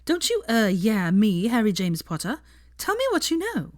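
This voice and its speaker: A woman voicing a bossy, suspicious, highly strung young witch who fires off emphatic, demanding questions in a story reading. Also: wildly swooping pitch, normal speed, no echo, studio quality. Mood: mocking, suspicious.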